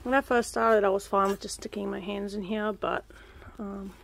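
A woman's voice: medium pitch, normal speed, gravelly, rough recording. A young woman chatting casually through a backyard gardening vlog, rambling with lots of ums, filmed on a handheld phone outdoors. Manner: relaxed, rambling, many ums, drops to a whisper up close. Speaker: vlogger. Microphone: phone held close.

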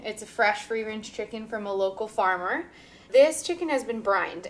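A woman in her thirties hosting a cooking show, giving upbeat, chatty instructions and laughing often in a home kitchen. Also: mid-range voice. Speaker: cooking show host and teacher. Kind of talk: casual cooking demonstration.

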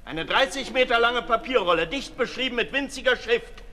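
A man's voice: very high-pitched, lively intonation, brisk, bright, forceful tone, exaggerated voice. A man playing a cynical, disillusioned aristocrat writer in a 1960s German radio drama, theatrical and mocking. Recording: echoey hall, noisy 1960s radio recording.

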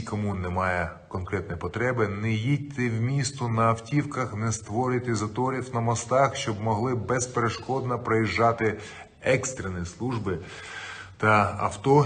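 Firm low-voiced man giving a briefing. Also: a bit bright. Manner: firm, emphatic, informative. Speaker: city mayor. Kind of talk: official video briefing to residents. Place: indoor office with flags. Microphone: webcam at desk.

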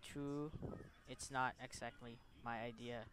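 A man hosting a gaming video, reading game text aloud and commenting in short, halting reactions. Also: mid-range voice, flat delivery, noisy background.

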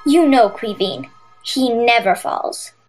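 A woman playing an earnest, warm-hearted young Irish girl in a children's audio drama. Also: a little high, animated, slow, faint music behind.

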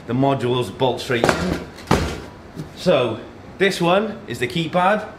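A man in his thirties hosting a casual tech unboxing, speaking in short phrases as he handles boxes and products. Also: medium pitch. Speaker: host of a tech unboxing video. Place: indoor office meeting room. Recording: echoey room, background noise and handling clatter.

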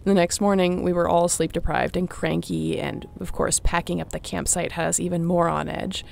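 A woman playing a young apocalypse survivor, a tender, teasing companion in an intimate audio roleplay, with a creaky voice. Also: on the low side, level tone, darkish tone, slight background noise. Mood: amused storytelling. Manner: unhurried, intimate, playful.

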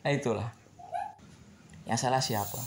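A man's voice: medium pitch, normal speed, gravelly, a little hiss or hum. A middle-aged man chatting casually with viewers while he fixes a bike in his repair shop, rambling and laughing easily. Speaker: bike mechanic vlogger. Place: bicycle repair shop, indoors.

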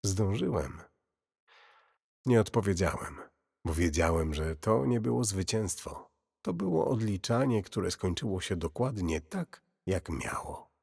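A man narrating a scripted first-person horror story in a grave, deliberate, brooding manner. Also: sits low, very animated, creaky, a little mellow, husky. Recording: cavernous long reverb.

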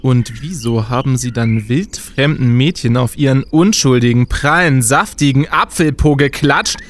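An actor playing a pompous, blustering young team captain in a comic radio play. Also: male voice, medium pitch, moderate pace, slight background noise, natural voice.

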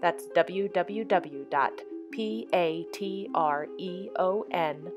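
Lower-voiced woman announcer over music. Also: slow, lo-fi sound. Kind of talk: scripted broadcast credits and announcement. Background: theme music.

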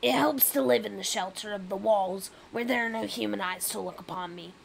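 A woman's voice: mid-range voice, a little fast, vocal fry, slight background noise, poor audio. Woman voicing an aggressive, grim rat king. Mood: grave, urging. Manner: intense, declamatory. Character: proud, grim, feral rat king living in walls. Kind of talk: dramatic storybook reading.

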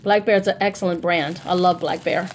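A woman's voice: lowish voice, fairly even intonation, brisk, a little hiss or hum. A woman chatting casually and informally in a rambling style, as a vlogger showing off her shopping. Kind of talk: casual haul vlog chat.

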